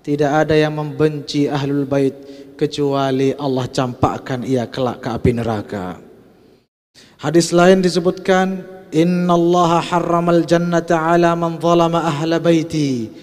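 A man's voice: fairly high pitch, little pitch movement, a little slow, a little mellow. A man in his thirties with an Indonesian accent, preaching a Friday sermon at a pulpit microphone. He chants Arabic quotations and then translates them. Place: large mosque hall, at a pulpit. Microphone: gooseneck pulpit mic. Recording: long mosque reverb.

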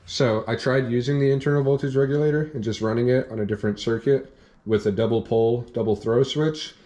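A young man in a workshop, calmly walking through a hands-on repair step by step, with plain practical talk. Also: mid-range voice, a little fast. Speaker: off-road channel host demonstrating a repair. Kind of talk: hands-on how-to explanation. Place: indoor workshop at a workbench.

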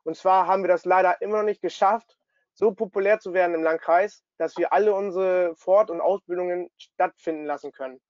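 A man's voice: high voice, fairly even intonation, a little fast, no room sound. A young man answering questions on a video call, a bit hesitant with fillers. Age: young adult. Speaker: youth sports board member. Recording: dry lo-fi video call audio.

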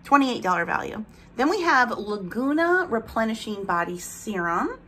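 A woman's voice: on the high side, big pitch swings, moderate pace, fairly bright tone. A woman in her thirties chatting casually in a home vlog, rambling while she unboxes products, with a slight lisp from aligners. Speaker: vlogger. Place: bedroom at home, indoors.

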